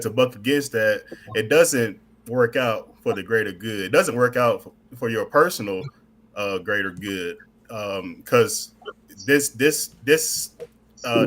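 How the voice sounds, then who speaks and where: Man, mid-pitched, earnest, halting, talk show. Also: fairly bright tone. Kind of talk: casual chat.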